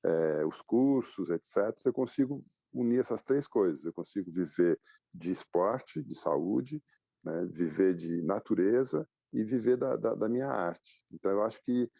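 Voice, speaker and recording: A man in his fifties from Brazil with a very low, gravelly voice and a dark, mellow tone. He recounts his story in a reflective way, pausing on fillers and restarting his sentences. The recording is dry and close. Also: expressive, dry recording. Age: 50s. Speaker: guest, publisher and adventurer. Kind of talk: casual interview storytelling.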